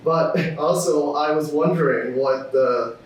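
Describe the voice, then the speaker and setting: A man's voice: on the high side, a little slow, slight background noise. A young man from Thailand, a student guest on a podcast, talking casually with many fillers and restarts. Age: young adult. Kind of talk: casual interview chat. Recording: echoey room, distant from mic.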